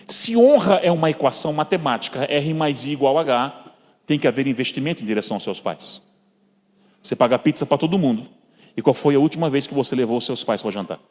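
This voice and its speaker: A man's voice: medium pitch, expressive, quick pace. Brazilian man preaching in a reverberant church. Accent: Brazilian Portuguese. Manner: storytelling, addresses listeners as brothers. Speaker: guest preacher. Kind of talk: sermon with personal stories. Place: church hall. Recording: long reverb of a church hall.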